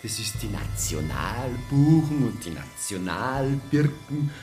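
A man's voice: mid-range voice, very animated, takes it slowly, a little mellow. An actor playing a stubborn, plain-spoken townsman with a Bavarian accent, making a folksy, sardonic speech in a radio play. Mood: deliberate, wry. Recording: roomy hall with faint music.

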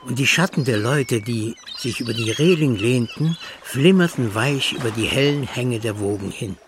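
A man's voice: medium pitch, animated, normal speed, background noise. A man reading literary narration as the narrator of a drama.

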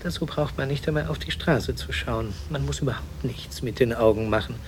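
A man's voice: medium pitch, a little fast, noisy background, natural voice. An actor playing an aloof, world-renouncing bookish man in a radio drama, musing and repeating himself for emphasis. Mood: serene, aloof. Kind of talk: German radio drama acting.

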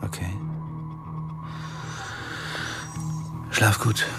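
An actor playing a reserved diving instructor in a radio drama, terse in dialogue and sober in narration. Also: male voice, normal speed, loud music behind the voice, close to an everyday voice. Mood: guarded.

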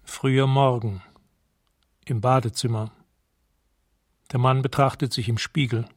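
A man narrating a radio drama in a measured, detached, matter-of-fact way, as if describing scenes. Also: medium pitch, fairly even intonation, dry recording, studio quality, lightly acted. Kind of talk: scripted present-tense radio drama narration.

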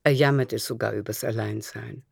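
A woman's voice: extremely low pitch, steady tone, normal speed, vocal fry, husky. A woman narrating a literary radio drama as a storyteller.

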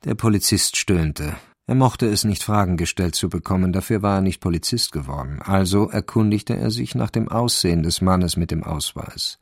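An actor as the wry, measured narrator of a German radio crime drama, with a deliberate, dry delivery. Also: male voice, sits low, vocal fry, dry recording.

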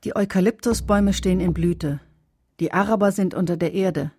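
A woman's voice: on the low side, moderate pace, darkish tone, breathy edge, no room sound, clean studio sound. A woman reciting poetry in a radio play as a sober chronicler of war, in a plain, declarative manner. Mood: sober, stark.

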